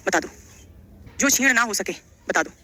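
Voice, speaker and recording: A woman's voice: medium pitch, lively intonation, normal speed, pushed and bright, a little hiss or hum. A woman teaching a live online lesson, explaining quiz questions in an instructive way, repeating phrases and putting questions to her students. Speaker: Hindi grammar teacher for exam coaching.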